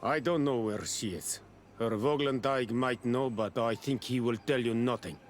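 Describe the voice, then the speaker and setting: A man's voice: mid-range voice, moderate pace, a little hiss or hum, close to an everyday voice. A man playing a wary, formal village man in a video game. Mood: guarded, dismissive. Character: wary male villager of a sacred island village. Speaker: game character giving a quest. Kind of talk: video game dialogue acting.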